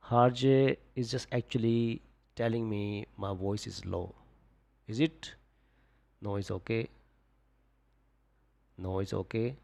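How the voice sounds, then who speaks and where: A man's voice: mid-range voice, creaky. A young man, talking casually on a live stream about trades and reading out viewer comments, full of "just, like" fillers. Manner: relaxed, repetitive fillers, coaching viewers. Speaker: forex trading streamer.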